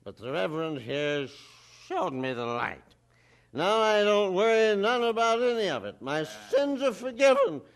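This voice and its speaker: High, gravelly tavern drinker. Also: male voice, sing-song, moderate pace. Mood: smug, merry. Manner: folksy, rough-spoken. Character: gleeful, uneducated tavern drinker, smug convert.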